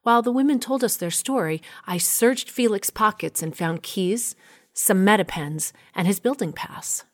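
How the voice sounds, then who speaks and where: A woman's voice: mid-range voice, moderate pace, dry recording, clean studio sound, lightly acted. A woman playing a plain-spoken adventurer, narrating her own story as scripted first-person audio drama. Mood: matter-of-fact.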